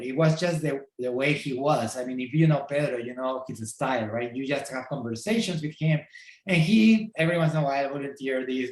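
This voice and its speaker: A middle-aged man with a Peruvian Spanish accent speaks in a relaxed, thoughtful way, filling gaps with small hesitations. His voice sits at an ordinary pitch and carries a noticeable echo from the room around him. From Peru. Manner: thoughtful, with many fillers. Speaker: guest. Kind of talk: casual interview. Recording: roomy echo.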